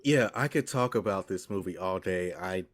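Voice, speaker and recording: A man's voice: deep voice, animated, normal speed. A man in his thirties talking casually and enthusiastically as a podcast co-host, swearing freely. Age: 30s. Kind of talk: casual banter about movies.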